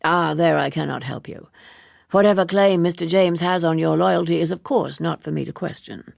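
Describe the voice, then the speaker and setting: A woman voicing a suave, worldly gentleman in an audiobook, leisurely, wordy and teasingly ironic, an amused seducer. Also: fairly low pitch, moderate pace, vocal fry, dry recording, studio quality. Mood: persuasive, teasing. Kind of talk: audiobook character dialogue.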